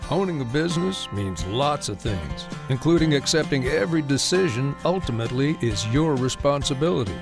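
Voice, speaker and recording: A man's voice: mid-range voice, expressive, moderate pace, creaky, few pauses. A man narrating a scripted radio commercial as the announcer. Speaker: advertisement announcer. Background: plucked guitar music.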